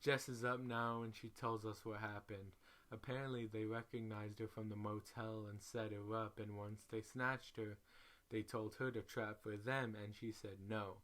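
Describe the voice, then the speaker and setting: A man reading a social media story aloud in an animated, slangy, profane way, as a dramatic storyteller. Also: fairly low pitch, normal speed. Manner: animated, profane, slangy, acts out each quote. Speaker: reader, storyteller.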